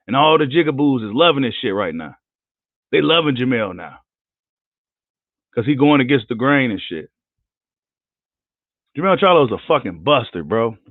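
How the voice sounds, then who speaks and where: A hoarse man who says he is losing his voice, ranting heatedly and profanely on a live boxing vlog. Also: medium pitch, no echo. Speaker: boxing commentator. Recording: tinny phone-like line, dry.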